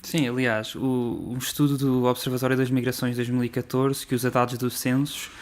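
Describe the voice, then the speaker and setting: A man's voice: medium pitch, little pitch movement, a little fast. A man co-hosting an interview, chiming in to cite reports and statistics. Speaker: podcast host, interviewer. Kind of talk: conversational interview.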